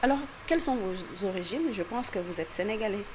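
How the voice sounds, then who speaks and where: A woman from Senegal hosts a casual entertainment interview, keeping her questions short and laughing often. She speaks at a middle pitch, and clear background noise sits under her voice. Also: lively intonation, brisk.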